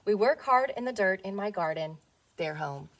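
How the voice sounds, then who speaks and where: A woman teacher reading a picture book aloud to young children, playful and expressive, often putting questions to them. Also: medium pitch, normal speed.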